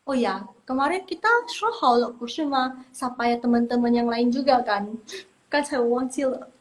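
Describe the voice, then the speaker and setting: A woman's voice: a little high, normal speed, darkish tone. A young woman from Indonesia teaching Mandarin in a casual live online lesson, chatty and reassuring, trailing off and repeating herself.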